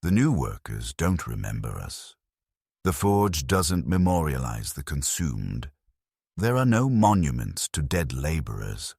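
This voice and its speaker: A man reading dramatic scripted narration in a grim, ominous and solemn manner, as the storyteller of a dark sci-fi tale. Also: a bass voice, very animated, unhurried, vocal fry, slightly rough, no echo, clean studio sound.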